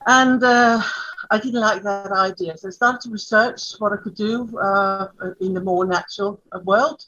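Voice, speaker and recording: A woman's voice: mid-range voice, fairly even intonation, pushed and bright. Danish-accented woman, slightly muffled. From Denmark. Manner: halting, with fillers and repeats. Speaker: guest, brand founder. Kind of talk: interview answers.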